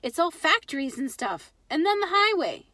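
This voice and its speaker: Woman doing a cheeky high-pitched boy sidekick. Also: sing-song, moderate pace, sharp tone, no room sound, full character voice. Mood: curious teasing. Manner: emphatic, questioning, teasing. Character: cheeky, talkative boy, the hero's nosy best friend. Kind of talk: children's storybook read-aloud.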